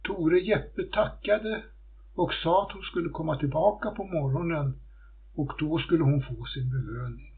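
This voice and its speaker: A man's voice: on the high side, husky. A man reading a scripted folktale as a storyteller. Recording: muffled phone-like audio.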